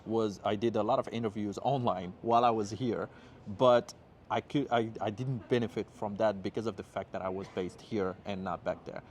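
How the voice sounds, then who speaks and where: A rapper from Morocco, with a lowish voice, explains his song in an earnest, unhurried way during a relaxed interview. He talks outdoors in a city, with faint street sound behind him. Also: slight background noise. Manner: earnest, explains at length, often agrees with "exactly". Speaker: rapper guest. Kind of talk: casual interview chat.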